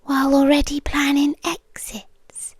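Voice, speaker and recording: A young British woman voicing an obsessive young girlfriend who has kidnapped her partner, speaking softly and often dropping into whispers, close to the microphone in an ASMR roleplay. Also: high voice, measured pace, dry recording. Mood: coolly menacing. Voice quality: calm, intimate, unsettling. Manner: soft-spoken, slipping into whispers.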